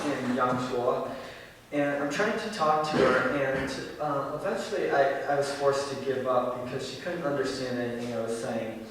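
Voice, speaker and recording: A teenage boy gives a casual presentation in a senior center hall, stopping often for ums and likes. He sits at a middle pitch, caught from a distance on a room mic. A long, echoey reverb and a faint hiss hang around his words. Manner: halting, full of ums and likes. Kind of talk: informal talk to an audience.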